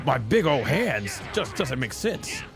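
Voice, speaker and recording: Man as cocky martial-arts hero, anime fighting game, brash taunts, gravelly, faint music. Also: mid-range voice, sing-song, slow, voice pushed down, full character voice. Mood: cocky, fired up. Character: cocky battle-hungry martial-arts warrior hero, shouting attacks. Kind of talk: fan voice acting over fighting game.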